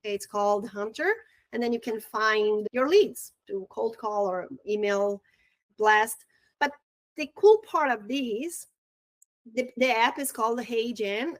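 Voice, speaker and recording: A woman with a Brazilian accent and Portuguese as her first language talks through a casual online training. Her voice sits high and the sound is dry and close. She is chatty and upbeat and often stumbles and restarts her words. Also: fairly bright tone, dry recording. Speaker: real estate trainer.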